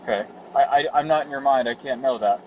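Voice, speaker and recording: A man's voice: medium pitch, fast, a little mellow. An American man working as a police officer, explaining things procedurally during a roadside stop. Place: outdoors at a roadside traffic stop. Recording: noisy outdoor police bodycam audio.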